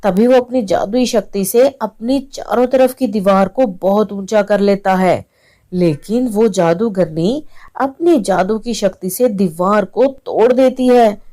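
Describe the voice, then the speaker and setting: Mellow woman narrating children's stories. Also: medium pitch, takes it slowly. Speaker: story narrator. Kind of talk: scripted children's story narration.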